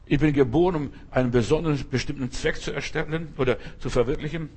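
A man's voice: fairly high pitch, fast talker, a little mellow, slightly rough. A man preaching a sermon, speaking emphatically in repeated refrains and addressing the listener directly.

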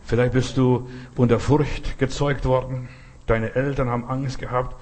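A man's voice: medium pitch, level tone, normal speed, darkish tone. A man giving a charismatic sermon, stressing and repeating short phrases. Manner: emphatic, repeats phrases, cries hallelujah. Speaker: preacher.

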